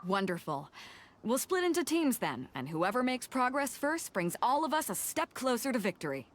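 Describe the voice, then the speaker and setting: A woman's voice: on the high side, big pitch swings, normal speed, a little hiss or hum. A woman playing a bold, hearty sea captain in a video game, talking casually and sociably. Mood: confident.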